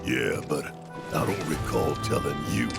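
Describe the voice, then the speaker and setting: An actor playing a gruff, suspicious, hot-tempered tough man in a video game, blunt and gravelly, with an American accent. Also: rumbling low, expressive, a little slow, heavily acted. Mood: wary. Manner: blunt, loud-mouthed. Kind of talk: video game cutscene acting. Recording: loud music over the voice.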